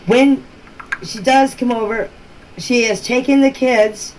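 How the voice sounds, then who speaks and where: A woman giving informal explanations in voice messages, speaking in a plain, deliberate, matter-of-fact way. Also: on the high side, steady tone, a little mellow. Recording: noisy amateur voice-message recording.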